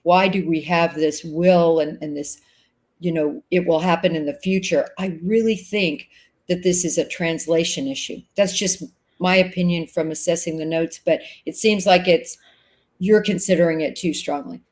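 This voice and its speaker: A woman hosting an interview, responding to her guest in a deliberate way, slowing down to stress key words. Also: fairly low pitch, fairly even intonation, a little mellow. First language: English. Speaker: host. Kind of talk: conversational interview.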